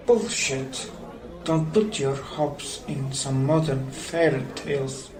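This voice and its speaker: A skeptical older man played by a male actor speaks slowly over a noisy crowd. He is dismissive and scornful of hope, an audio drama figure. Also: mid-range voice, natural voice. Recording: voices of a crowd behind.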